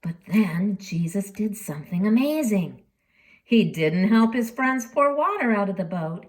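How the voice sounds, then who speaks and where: A woman reads a storybook aloud for children. Her pitch is lively and varied around a middle register, and she tells it dramatically, pausing deliberately and leaning on key words. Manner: dramatic, with deliberate pauses and emphasis. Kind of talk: expressive storybook reading for children.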